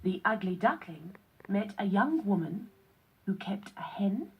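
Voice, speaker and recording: A woman giving scripted narration on a children's read-along, with a deliberate, instructional storyteller delivery. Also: medium pitch, lively intonation, breathy edge, dull audio.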